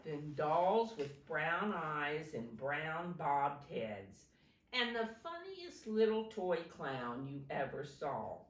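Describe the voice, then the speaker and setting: A woman reads a children's storybook aloud at an unhurried pace, her pitch rather low but swinging expressively. She speaks simply and deliberately to young listeners, a little distant from the microphone in a roomy space. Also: sing-song. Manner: simple, deliberate phrasing addressed to young children, with asides to show pictures. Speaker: teacher reading a picture book to children.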